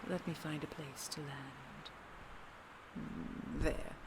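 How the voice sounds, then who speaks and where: A woman's voice: deep voice, steady tone, heavy background noise. A woman voicing a possessive female dragon queen who is fierce to rivals and tender to her treasure, often speaking in a whisper. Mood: soothing. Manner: slow, gravelly, whispered tenderness. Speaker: voice actor. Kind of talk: audio roleplay acting.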